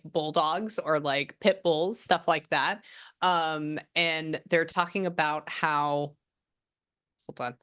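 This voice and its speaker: A woman in her thirties with a low, deep-set voice talks a client through a reading. She rambles and jumps into quick bursts of surprise, chatting loosely over a video call. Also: bright, forceful tone. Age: 30s. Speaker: psychic medium.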